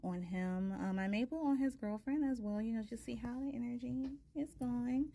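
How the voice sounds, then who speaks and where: A woman in her thirties with a soft voice, a tarot reader chatting casually and ramblingly with her viewers. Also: on the high side, normal speed, creaky. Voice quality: soft-spoken. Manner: rambling, many fillers. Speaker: tarot reader, channel host.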